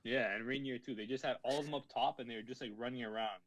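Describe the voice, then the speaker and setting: A man's voice: medium pitch, steady tone, quick pace, dull audio, crowd noise. A young man co-hosting a football podcast, chatting casually and slangily with lots of "like" and "bro". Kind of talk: casual sports chat among friends.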